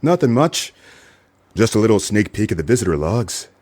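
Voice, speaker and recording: A man's voice: lowish voice, big pitch swings, moderate pace, a little mellow. An actor playing a wry, wisecracking hard-boiled male detective in a noir radio play, both narrating and in dialogue. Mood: teasing, friendly.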